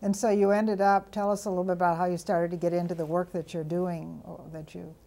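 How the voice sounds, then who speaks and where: An older woman interviewing for an oral history, gently prompting with questions in a dark studio. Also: lowish voice, little pitch movement, a little fast, gravelly, slight background noise.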